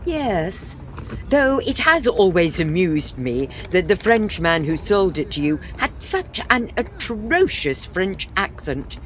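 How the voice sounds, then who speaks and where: Woman as composed Victorian wife, dryly amused, expressive intonation, measured delivery, audio farce. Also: fairly low pitch, a little hiss or hum. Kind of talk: comic audio drama acting.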